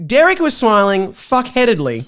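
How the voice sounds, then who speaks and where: A man's voice: high voice, huge pitch swings, normal speed, a bit bright. A man chatting casually and comedically as a podcast guest, riffing playfully and reading passages aloud.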